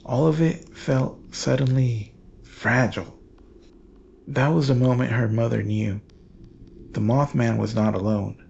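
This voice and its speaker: A man narrating a scripted horror story in a solemn, measured, portentous manner with dramatic pauses. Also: on the low side, creaky, a little mellow, highs cut off, a little hiss or hum.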